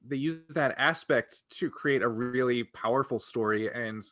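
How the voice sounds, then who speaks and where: A man co-hosting a casual fan discussion, thinking aloud in a halting way and circling back over phrases. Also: mid-range voice, a bit bright, dry recording, clean studio sound. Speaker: podcast co-host.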